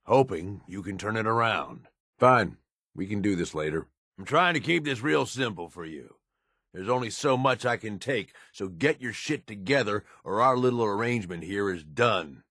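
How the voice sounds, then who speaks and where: Gruff american raider sidekick for a game, really low gravelly rasp, cynical and blunt, dry close sound. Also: male voice, fairly bright tone, no room sound, heavily acted. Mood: tense, confrontational. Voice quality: husky, raspy. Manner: blunt, streetwise, rough drawling slang with swearing. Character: gruff, cynical male raider henchman, wary but loyal to his boss. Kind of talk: video game character dialogue.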